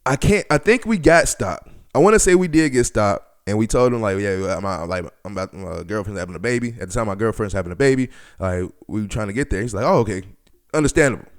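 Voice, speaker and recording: A man's voice: on the low side, quick pace. A man in his twenties hosting a podcast, telling stories casually in slangy, profane language and acting out bits of dialogue. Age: 20s. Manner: slangy, profane, animated retelling with quoted dialogue. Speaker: podcast host. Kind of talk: casual storytelling podcast talk.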